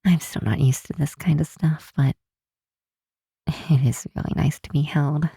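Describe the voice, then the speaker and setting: A cynical grinch girl, acted by a woman for ASMR roleplay, with a dark, husky voice. She speaks slowly and sardonically, leaning on words, yet has an affectionate streak underneath. The sound is close and very dry. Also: deep voice, steady tone, dry recording, exaggerated voice. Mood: soft, vulnerable. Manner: sardonic, exasperated, drawn-out emphatic words. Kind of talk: ASMR roleplay, scripted acting to the listener.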